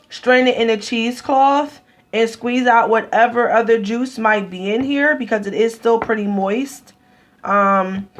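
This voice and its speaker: A woman, chatting casually as she demonstrates juicing in her home kitchen, rambling with many ums and restarts. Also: fairly high pitch. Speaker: vlogger.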